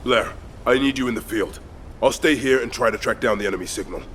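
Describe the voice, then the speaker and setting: An actor playing an earnest, dutiful male support officer in a video game, speaking in a measured, polite way. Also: medium pitch, a bit bright, reverberant, slight background noise, close to an everyday voice. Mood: urgent, commanding.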